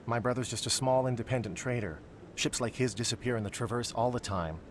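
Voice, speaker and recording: A man's voice: medium pitch, a little fast, close to an everyday voice. A man playing a guarded, worried but hopeful character in a video game, preoccupied with troubles. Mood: worried, determined. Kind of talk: video game dialogue acting.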